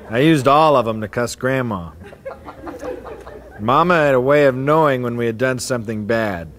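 A man of ordinary pitch reads short family anecdotes aloud to his relatives in a hotel atrium. His delivery is plain and unhurried, and he stops now and then to chuckle or add a remark. A faint hum of room noise sits underneath. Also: a bit bright. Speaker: family member reading stories aloud. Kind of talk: casual reading aloud to relatives.

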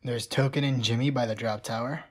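A woman voicing a calm, dry teenage boy in a fan comic dub, talking in a flat, reassuring way. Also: rumbling low, a little fast, slightly rough.

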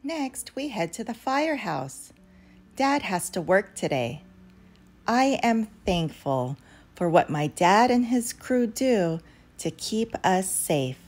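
A woman's voice: mid-range voice, very animated, takes it slowly, a little mellow. A woman reading a picture book aloud expressively for young children, as a storyteller. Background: background music.

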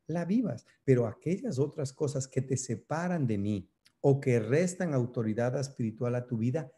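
Earnest pastor man, mellow voice. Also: mid-range voice. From Ecuador. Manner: earnest preaching, emphatic, with hand gestures. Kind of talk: sermon over video. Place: office indoors. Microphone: webcam close up.

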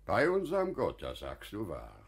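A wise warm merchant in an old stage classic on radio, husky, slow, pitch swings around a lot. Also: male voice, wildly swooping pitch, heavily acted. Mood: amiable, teasing. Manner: theatrical, deliberate, questioning delivery. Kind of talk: German classical radio play acting.

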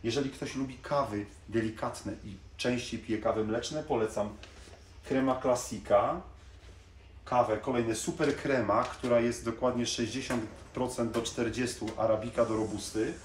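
A man, chatting casually to camera as a salesman in a coffee machine showroom, pausing with small hesitations as he points things out. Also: mid-range voice, steady tone. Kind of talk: casual product presentation to camera. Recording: echoey showroom with faint room noise.

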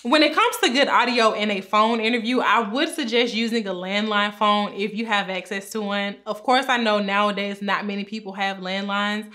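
A woman in her thirties with a bright, crisp voice talks straight to the camera from a small room at home. She sounds upbeat and instructive, explaining tips clearly and gesturing as she goes. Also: medium pitch, steady tone, moderate pace, few pauses. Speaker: pharmacist giving career advice. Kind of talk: direct-to-camera advice talk. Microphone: camera framed at chest height.

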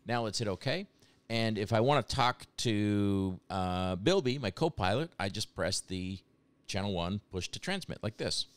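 A man with a low, smooth voice walks through a software demo. He talks casually and explains each step conversationally, as in a screen-share tutorial. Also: expressive, normal speed, no echo.

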